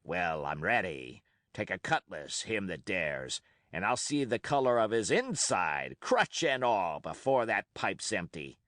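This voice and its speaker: A gruff, crafty old pirate cook, as a male storyteller puts him on, low and commanding, with a slow, menacing drawl. His delivery rises and falls with threat, and the sound is close and dry. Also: big pitch swings, fairly bright tone, no echo, exaggerated voice. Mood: defiant.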